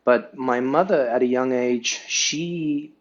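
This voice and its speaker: A man's voice: mid-range voice, takes it slowly, lo-fi sound. A South African man, a podcast guest, telling his life story in a casual, earnest way and often ending sentences with "right?".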